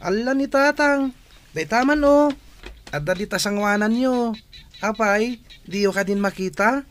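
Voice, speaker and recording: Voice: medium pitch, a little slow, slight background noise, natural voice. A performer acting a caring young child of a family in a Filipino radio drama, with a Filipino accent. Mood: helpful.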